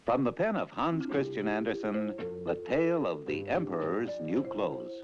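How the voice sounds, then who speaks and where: Male storyteller, low voice, theatrical, hugely varied intonation, scripted fairy-tale narration, music underneath, lo-fi tape. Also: sing-song, normal speed. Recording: dull lo-fi old tape, music behind.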